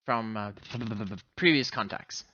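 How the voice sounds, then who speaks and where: A man's voice: lowish voice, takes it slowly, bright, forceful tone, no echo, dull audio. A man player describing his character's actions in third person, over a voice call mic. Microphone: online voice call mic.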